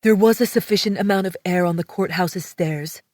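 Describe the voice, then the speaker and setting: A woman's voice: lowish voice, normal speed, darkish tone, dry recording, clean studio sound, distant mic, natural voice. A woman voicing a brusque young man who is exasperated, with a curt, sarcastic way of talking.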